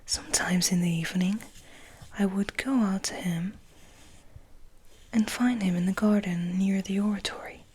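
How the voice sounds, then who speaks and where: A woman reading a story aloud as soft ASMR, in a breathy, mostly unvoiced whisper with gentle, unhurried delivery. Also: medium pitch, fairly even intonation, low-quality recording. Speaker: ASMR host and reader.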